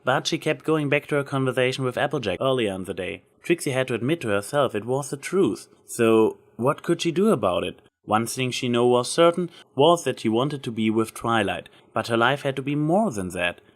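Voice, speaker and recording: A man's voice: mid-range voice, expressive, fairly quick, hardly any pauses. Male narrator for an amateur fan fiction reading, mid-range voice, scripted storytelling.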